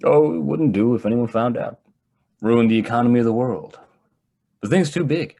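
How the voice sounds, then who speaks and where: A man acting a privileged young heir in a radio drama, polite, measured and matter-of-fact, with a husky voice. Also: medium pitch, dark tone. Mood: earnest.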